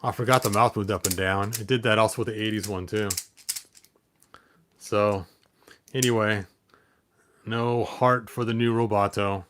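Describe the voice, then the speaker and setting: A man's voice: on the low side, fairly even intonation, normal speed, long pauses, fairly bright tone, slight background noise. A man hosting a hobby vlog in casual, digressive chat, often half talking to himself as he handles things. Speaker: toy collector, video host.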